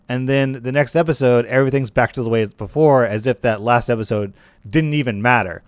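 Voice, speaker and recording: A man's voice: mid-range voice, dry recording. A man hosting a gaming video with casual, conversational commentary, often repeating phrases for emphasis.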